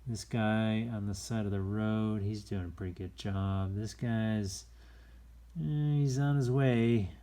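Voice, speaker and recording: A man hosting a children's story time, reading aloud in a playful, expressive way with chatty, folksy asides and shifts between hushed and exclaimed delivery. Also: on the low side, takes it slowly. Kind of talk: animated read-aloud with casual asides to young viewers.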